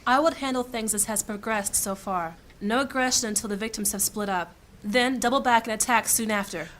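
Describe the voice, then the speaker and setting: A woman voicing a stern, high-ranking female mage who gives clipped, authoritative commands in a fantasy audio drama. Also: a little fast, a bit bright, slight background noise, natural voice. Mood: commanding.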